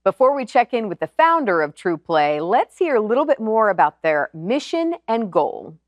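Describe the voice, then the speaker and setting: A woman's voice: mid-range voice, sing-song, moderate pace, dry recording. Woman TV host, very dry close sound. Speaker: TV show host. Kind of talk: upbeat broadcast presenting and interviewing.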